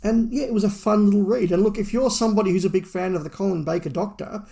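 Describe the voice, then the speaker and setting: A man's voice: high voice, quick pace, gravelly, dark tone. A man co-hosting a podcast, casually discussing and reviewing with his fellow host.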